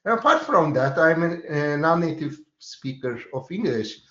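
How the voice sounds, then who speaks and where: A man with a Turkish accent, a freelance developer introducing himself on a webinar panel, talking with many hesitant pauses. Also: fairly high pitch, sing-song, fairly bright tone. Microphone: video call. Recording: roomy, dull lo-fi call audio.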